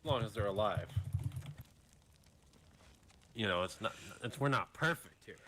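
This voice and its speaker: A man roleplaying an amoral mad doctor in a Western game, explaining his schemes calmly and haltingly. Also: lowish voice. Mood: matter-of-fact. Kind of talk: improvised online Western roleplay.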